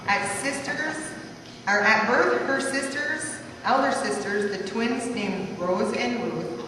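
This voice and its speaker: A woman's voice: a little high, slow. Woman reading rhymed family history aloud, distant in a very reverberant hall, occasional stumbles. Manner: stumbles over words as she reads. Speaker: reader of a family life story. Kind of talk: rhyming verse read aloud. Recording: echoey hall from far off, faint noise.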